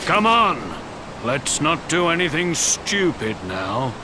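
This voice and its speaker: A man's voice: a little high, big pitch swings, slow, heavy background noise. A man acting a hostile soldier barking at fugitives in a video game cutscene. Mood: commanding. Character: hostile male castle soldier hunting fugitives.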